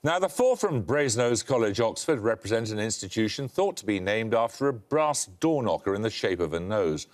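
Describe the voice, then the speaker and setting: A man's voice: on the low side, sing-song, fairly quick, few pauses. A man hosting a televised quiz, reading introductions and questions with a dry, wry delivery in a studio. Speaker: quiz show host. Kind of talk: scripted quiz presenting.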